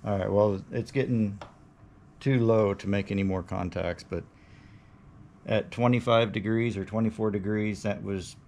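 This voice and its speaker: A man in his forties operates a ham radio at home, talking in a clipped, procedural way. He is picked up by a camera in the room, with loud radio static and hiss all around him. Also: lowish voice, steady tone, moderate pace. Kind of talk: radio call signs in phonetic alphabet. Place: small living room, indoors. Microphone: camera a short distance away. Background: radio noise.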